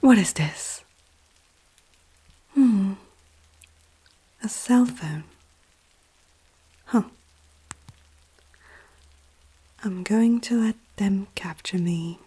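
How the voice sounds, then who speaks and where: A woman voicing a powerful, teasing female vampire noble in an ASMR roleplay, speaking softly and often close to a whisper, with an aristocratic, self-assured manner. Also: medium pitch, very slow, long pauses, full character voice, low-quality recording. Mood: amused, exasperated. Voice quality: soft, breathy, often near whisper. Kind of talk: ASMR roleplay addressed to the listener.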